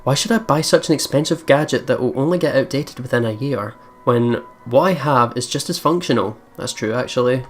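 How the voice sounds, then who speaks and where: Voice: mid-range voice, brisk, hardly any pauses, faint music behind. A let's play host casually commenting and reading game text aloud, informal and prone to swearing. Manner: chatty, informal, swears freely.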